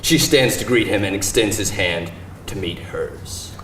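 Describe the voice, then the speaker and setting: A man's voice: on the low side, measured pace, a bit bright. A man reading screenplay stage directions aloud as scripted narration at a live table read. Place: live event room with audience. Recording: echoey room, distant mic, faint noise.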